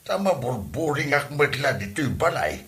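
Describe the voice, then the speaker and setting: An actor playing a gossipy, excitable village man with an Ilocano accent, exclaiming his way through a comedy drama. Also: mid-range voice, moderate pace. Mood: eager. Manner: exclaiming, swooping delivery. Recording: music under the voice. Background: music.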